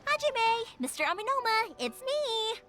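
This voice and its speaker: Bubbly young game heroine, a fireworks maker. Lively swooping delivery, informal chatter, light music behind. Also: female voice, very high-pitched, a little slow, full character voice. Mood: eager, persuasive. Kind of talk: English video game voice acting.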